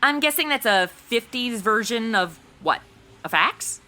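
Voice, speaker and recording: A woman's voice: fairly high pitch, animated, a little slow, sharp tone. An American woman playing a chatty, flippant modern rookie investigator in a comedy audio drama, breezy and casual.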